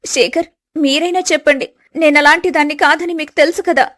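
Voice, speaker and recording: A woman's voice: high-pitched, steady tone, brisk, fairly bright tone, voice pushed up. A woman playing a young, falsely accused daughter-in-law who pleads tearfully and earnestly in a family storybook melodrama. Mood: distressed pleading.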